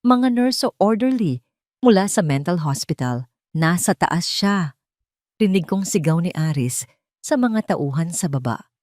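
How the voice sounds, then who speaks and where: Low-voiced woman narrating a dramatic story. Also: lively intonation, unhurried, a little mellow, no echo, studio quality. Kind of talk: dramatic first-person audio drama narration in a scripted story.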